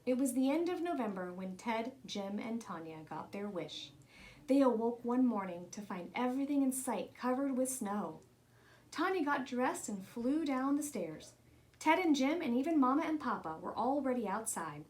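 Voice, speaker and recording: Lively woman reading a children's story. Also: a little high, normal speed, rough recording. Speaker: storybook reader. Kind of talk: expressive read-aloud of a children's picture book.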